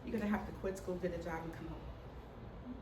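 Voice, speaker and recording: A woman's voice: lowish voice, moderate pace, noisy background. Woman who stutters, roomy distant sound. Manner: stutters, candid and humorous. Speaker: speaker telling her story. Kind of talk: personal story talk to an audience. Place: hall before an audience.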